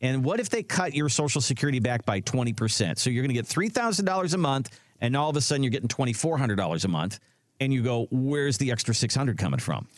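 A man in his sixties hosting a casual financial radio show, chatty and lively. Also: mid-range voice, fast talker, no echo, clean studio sound. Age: 60s. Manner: lively, chatty, acts out his own thoughts. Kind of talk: casual radio talk and ad reads.

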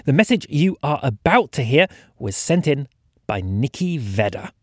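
A man's voice: mid-range voice, sing-song, moderate pace, heavy background noise. A man hosting a podcast, reading scripted thanks and announcements.

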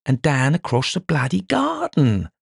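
A man's voice: mid-range voice, big pitch swings, moderate pace, no room sound, clean studio sound, voice pushed up. A man voicing a lazy, foul-tempered police detective who grumbles and blusters coarsely. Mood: blustering.

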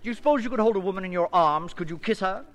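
A fussy silent-film director in an old radio play. His high voice swings widely as he issues pompous, theatrical orders. It rings in a long reverb with faint music behind. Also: lively intonation, very fast. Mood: impatient. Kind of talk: 1950s radio comedy-drama acting.

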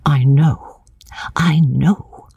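A woman's voice: sits low, fairly even intonation, extremely slow, gravelly, warm tone, slightly rough, no room sound, full character voice. A woman reading a storybook as a prim, fretful spinster cousin, martyred, secretive and intense. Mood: urgent, conspiratorial. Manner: evasive, intense, self-pitying, sometimes dropping to an urgent whisper.

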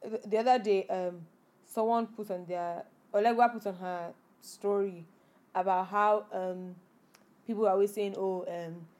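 A woman's voice: medium pitch, fairly even intonation, unhurried, darkish tone. A young woman with a Nigerian accent, co-hosting a podcast in casual chat, blunt and opinionated, dropping Nigerian slang. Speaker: podcast co-host.

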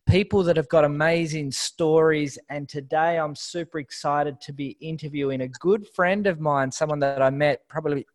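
A man hosting a podcast, introducing his guest with enthusiasm. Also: a little high. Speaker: podcast host. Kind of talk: podcast interview.

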